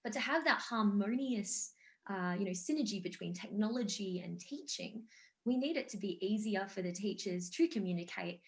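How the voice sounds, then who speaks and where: A woman's voice: mid-range voice, lively intonation, moderate pace. Enthusiastic woman on a video-call panel. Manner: enthusiastic, fluent with fillers. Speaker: panelist, game company founder. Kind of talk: online panel discussion.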